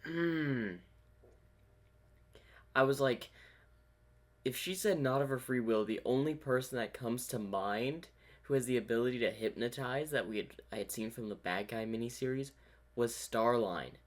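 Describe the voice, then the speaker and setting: A man's voice: mid-range voice, normal speed, long pauses. A man hosting a reaction video, musing aloud in casual commentary.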